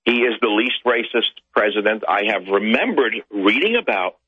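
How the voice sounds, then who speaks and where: A man with a husky voice, ranting indignantly as a talk show guest. The sound is dry and dull, like a muffled line. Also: on the low side, animated, moderate pace, no echo. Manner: indignant, ranting, climbs to loud outbursts. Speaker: guest commentator. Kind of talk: political talk show interview.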